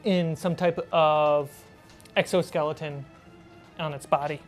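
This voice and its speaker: A woman with a slightly low voice acts as game master. She narrates and referees in a casual, teasing manner and swears freely. Faint music plays behind her. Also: fairly even intonation, a little slow. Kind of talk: narrating and refereeing a tabletop role-playing game.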